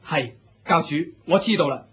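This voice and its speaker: A naive, devout young disciple, high-pitched and very breathy-rough, with a Hong Kong Cantonese accent. He sounds humble and eager to please in a muffled radio play. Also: moderate pace, fairly bright tone. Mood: humble, obedient. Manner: deferential, eager. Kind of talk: Cantonese radio drama acting.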